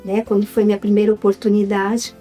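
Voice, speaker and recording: A woman's voice: medium pitch, a little fast, soft background music. A woman in her fifties with a Brazilian Portuguese accent, an actress chatting casually as an interview guest, now and then turning to the audience. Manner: lively, addresses the audience as "gente".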